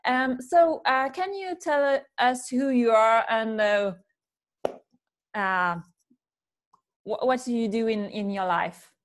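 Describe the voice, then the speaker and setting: A woman's voice: on the high side, slow pace, pauses often. A French woman hosting an interview, speaking hesitantly and searching for her words. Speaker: host. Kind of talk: interview, casual.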